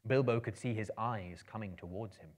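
A man in his thirties reading a story aloud dramatically, in a theatrical, expressive storyteller's manner. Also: fairly low pitch, normal speed. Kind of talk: dramatic book reading to a live audience. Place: indoor room with bookshelves, small live audience.

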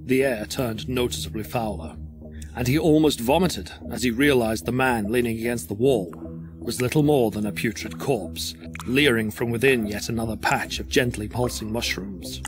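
Guy narrating a horror audio drama, mid pitched voice, music under him. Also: moderate pace.

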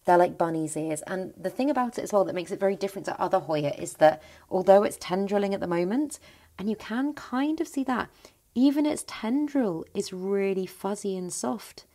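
A woman giving a chatty, enthusiastic tour of her plant collection at home, her voice a little croaky. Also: mid-range voice, lively intonation, normal speed, dark tone. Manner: chatty, enthusiastic, rambling with restarts. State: voice slightly croaky. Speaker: plant vlogger. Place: indoor plant cabinet at home.

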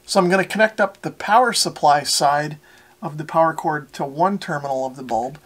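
A man's voice: a little high, a bit bright. A man, giving a methodical, plain-spoken tutorial as a hobbyist presenter. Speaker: radio repair hobbyist and presenter. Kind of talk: explanatory tutorial talk to camera.

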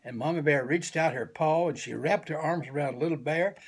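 A man in his seventies reading a children's picture book aloud expressively in a small room at home. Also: on the high side. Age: 70s. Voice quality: gravelly, husky. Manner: slow, deliberate, animated.

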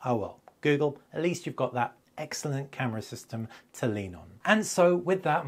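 Guy in his 30s doing a phone review voiceover, medium pitch, bit of husk in the voice. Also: breathy edge. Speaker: tech reviewer and video host. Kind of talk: scripted review narration to camera. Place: small room lined with acoustic foam panels.

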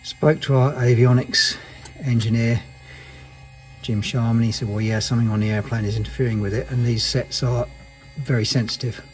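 A man's voice: medium pitch, steady tone, moderate pace, faint music behind, poor audio. A man giving an informal technical explanation in a matter-of-fact, understated and somewhat hesitant way, as a maintenance engineer. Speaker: aircraft maintenance engineer presenting.

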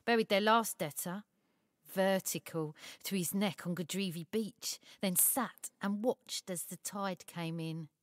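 An actress playing a shrewd assistant at a secretive tailor's shop in an audio story, talking in a blunt, wry, colloquial way. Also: female voice, mid-range voice, measured pace, dry recording, natural voice. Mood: darkly wry. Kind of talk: dramatised audio short story acting.

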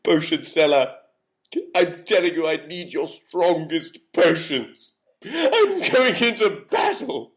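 A man's voice: a little high, sing-song, slow pace, pitched above the performer's own voice. A man voicing a desperate, insistent knight in a comic cartoon sketch, pleading and indignant.

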